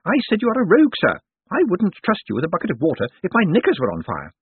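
Man doing a high swooping feisty old lady. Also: wildly swooping pitch, fast, slightly rough, no echo, phone-quality sound, full character voice. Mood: triumphant, scolding. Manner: direct, gleeful, imperious.

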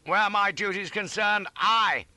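A man voicing a callous, blustering British soldier rogue, shouting and bullying self-righteously in an audiobook adventure. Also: low voice, moderate pace, no echo, voice pushed down.

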